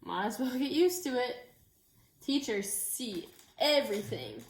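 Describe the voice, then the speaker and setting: A woman's voice: fairly high pitch, sing-song, a little slow, slight background noise. Woman playing an encouraging storybook teacher, echoey room. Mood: knowing. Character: cheerful, encouraging primary school teacher in a storybook.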